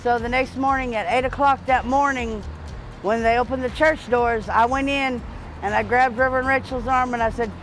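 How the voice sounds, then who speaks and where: An older woman telling her story plainly and loudly in an outdoor interview, often repeating words as she starts a thought. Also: a little high, fairly even intonation, normal speed, faint music behind, poor audio. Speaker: church volunteer. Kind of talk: personal testimony interview. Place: outdoors in front of a church.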